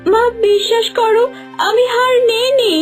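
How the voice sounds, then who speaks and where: Woman as a very high-voiced young village girl. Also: fairly even intonation, unhurried, soft background music. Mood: tearful protest. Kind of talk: cartoon dubbing.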